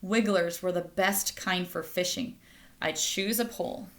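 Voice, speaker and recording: A woman's voice: on the low side, a bit bright. A woman reading a children's picture book aloud as a storyteller, addressing young listeners directly. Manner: pauses to ask listeners questions.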